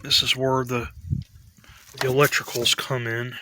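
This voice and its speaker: A man giving a casual, tentative show-and-tell commentary in a halting way, like a hobbyist vlogger. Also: mid-range voice, level tone, background noise.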